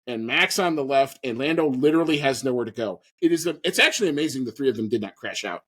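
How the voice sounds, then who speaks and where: A man's voice: medium pitch, lively intonation, fast talker, a bit bright. A man hosting a podcast, giving a casual, opinionated and emphatic recap of a sporting event. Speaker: podcast host.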